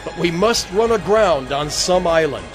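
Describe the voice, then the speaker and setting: A man's voice: fairly high pitch, animated, moderate pace, lightly acted. A man playing an earnest apostle who exhorts frightened shipmates in a dramatized scripture audio drama, with emphatic, oratorical delivery. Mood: urgent, encouraging. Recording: music bed under the voice.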